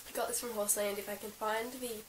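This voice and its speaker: A young Australian woman, croaky from being sick, chatting casually in a vlog about her favourite horse gear, giggling often and filling her talk with ums and likes. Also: mid-range voice, little pitch movement, fast talker. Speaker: equestrian vlogger. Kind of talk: casual show-and-tell vlog. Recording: roomy, slightly distant with hiss.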